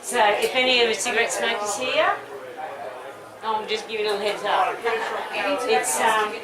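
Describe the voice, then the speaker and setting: A woman's voice: medium pitch, fairly even intonation, a little slow. A middle-aged Australian woman giving an informal, rambling public talk with blunt asides, taking questions from the audience. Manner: chatty, rambling, blunt, with swearing and laughs. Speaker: medical cannabis advocate giving a festival talk. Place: festival talk in front of an audience. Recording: echoey, distant mic, audience crowd audible.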